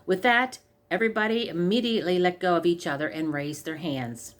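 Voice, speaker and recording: A woman narrates a children's storybook from a script. Her voice sits somewhat low as she reads the tale aloud. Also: a little fast. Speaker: story reader.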